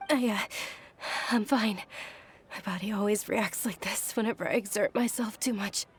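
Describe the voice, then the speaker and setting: An actress playing a gentle young noblewoman in an anime game, earnest and caring, with a polite, proper manner. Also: medium pitch, normal speed, a little hiss or hum, natural voice. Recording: music under game dialogue.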